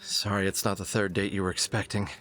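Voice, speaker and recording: A man's voice: low voice, flat delivery, quick pace, fairly bright tone, no echo. A man playing a flippant, teasing companion in an audio drama, casual and joking. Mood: teasing, curious.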